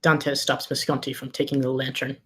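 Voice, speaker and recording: A man's voice: medium pitch, monotone, moderate pace, sharp tone, slight background noise. A man reading scripted screenplay directions as the narrator of a table read.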